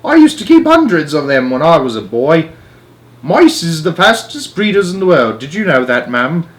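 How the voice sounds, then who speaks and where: A man's voice: on the high side, very animated, moderate pace, voice pushed up. A chatty old cabbie from a storybook, voiced by a man. He talks in a folksy, easygoing way. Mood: chatty, amused. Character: chatty old male English taxi driver.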